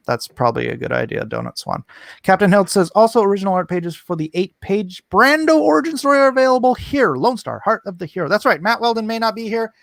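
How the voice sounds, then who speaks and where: A man's voice: medium pitch, gravelly, no echo, clean studio sound. A man hosting a casual live online art auction, chatting and reading out comments in a playful, hammy way. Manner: playful, theatrical, hammy countdowns.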